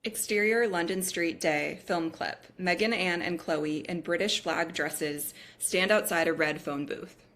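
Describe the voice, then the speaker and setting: Lower-pitched woman reading screenplay directions. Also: unhurried, fairly bright tone. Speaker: stage-direction reader at a table read. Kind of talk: scripted narration of screenplay directions.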